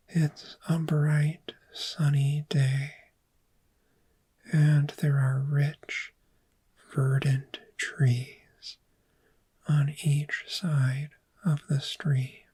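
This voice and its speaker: A woman playing a calm, gentle dragon therapist in a soft-spoken ASMR roleplay, speaking in a breathy, soothing whisper with long pauses. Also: deep voice, heavily acted. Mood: soothing, hypnotic.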